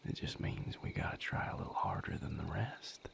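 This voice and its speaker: A man playing a gentle, wry vampire healer in an intimate audio roleplay, speaking to the listener in halting, reflective phrases with a breathy, gravelly near-whisper. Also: lightly acted. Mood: patient, thoughtful. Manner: halting, reflective, casually profane. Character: male vampire healer, gentle, wry, weary but content lover.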